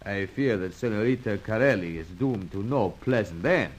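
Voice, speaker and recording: An actor playing a boastful, scheming villain with a superweapon in a radio serial, grandiose and gloating. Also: male voice, lowish voice, normal speed, natural voice. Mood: sly. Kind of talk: old-time radio adventure serial acting.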